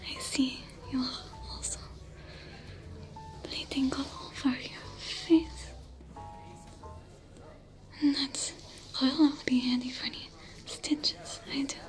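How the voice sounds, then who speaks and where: A woman whispering as a French-accented alien smuggler. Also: high-pitched. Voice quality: soft whisper, close and breathy. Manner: gentle, reassuring, coaxing. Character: soothing female alien smuggler, secretive, caring. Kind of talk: whispered ASMR roleplay. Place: indoor set dressed as a sci-fi bar. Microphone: close sensitive mic. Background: soft music.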